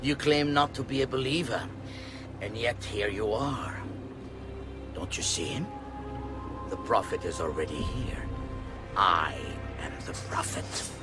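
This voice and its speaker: An older, menacing game villain. The voice is low and imperious, sweeping up and down as he taunts. Music runs clearly underneath. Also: animated, a little slow, long pauses. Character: menacing older male villain, arrogant self-proclaimed prophet. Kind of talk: video game dramatic acting. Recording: music behind the voice.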